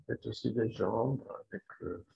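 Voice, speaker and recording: A man leading a guided yoga relaxation as the instructor, speaking deliberately and repeating phrases for emphasis. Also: lowish voice, creaky. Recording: roomy echo, slightly dull sound.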